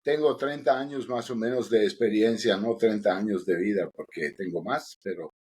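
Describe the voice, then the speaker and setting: A man's voice: fairly low pitch, normal speed, a little mellow, breathy edge. A middle-aged man with an Italian accent, co-hosting a podcast in an easygoing, joking, rambling way. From Italy. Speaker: podcast co-host. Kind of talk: casual podcast chat.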